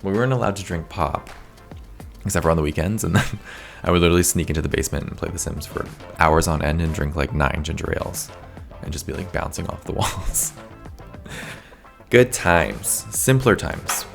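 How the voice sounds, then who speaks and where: Hoarse low-voiced man. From Canada. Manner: chatty, rambling, says "like" often. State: slightly hoarse, losing voice. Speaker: video game builder, channel host. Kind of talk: casual voice-over commentary.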